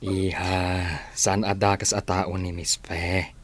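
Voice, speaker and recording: An actor playing a soft-spoken, earnest father in a radio drama. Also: deep voice, flat delivery, slow pace.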